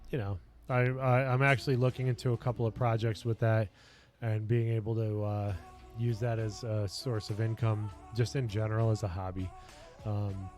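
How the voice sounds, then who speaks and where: A man chatting casually on his home livestream in a basement office, rambling and earnest with lots of fillers, his voice getting a little raspy. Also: fairly low pitch, a little mellow. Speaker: streamer and lifestyle coach.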